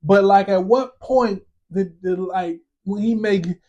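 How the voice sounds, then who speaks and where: A man's voice: sits high, slow pace, dark tone, muffled recording. A man in his late thirties hosting a podcast, chatting casually in slangy banter and often repeating his phrases. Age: 30s. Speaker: podcast host. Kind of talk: casual chat.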